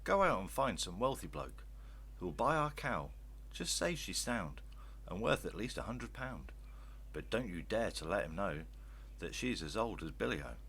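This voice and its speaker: A man's voice: lowish voice, big pitch swings, moderate pace, fairly bright tone, slight background noise. A man voicing a bossy, hard-up mother in a storybook reading. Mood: scolding, exasperated. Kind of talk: storybook verse character voice.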